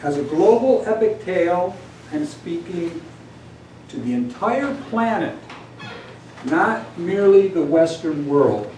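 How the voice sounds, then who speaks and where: A man's voice: high-pitched, sing-song, slow pace, warm tone. A man reciting his own epic poetry in a solemn, grandiose, declamatory manner, as a poet at a live reading. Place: indoor venue at a live reading. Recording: echoey room from far off, background noise.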